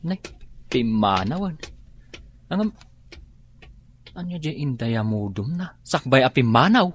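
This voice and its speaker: Husky, deferential young house helper, played by a man, radio drama. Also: medium pitch, sing-song, measured pace. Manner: deferential, says ma'am often. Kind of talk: Ilocano radio drama acting.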